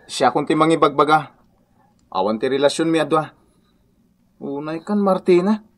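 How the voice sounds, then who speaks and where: A man's voice: fairly high pitch, moderate pace, natural voice. An actor playing a falsely accused village man, defensive and insistent, in a Filipino radio drama. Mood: aggrieved. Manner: protesting, insistent.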